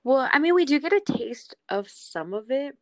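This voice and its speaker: A woman's voice: sits high, sing-song, moderate pace, dry recording. A woman co-hosting a podcast in casual, slangy chat about a TV series. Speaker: podcast co-host.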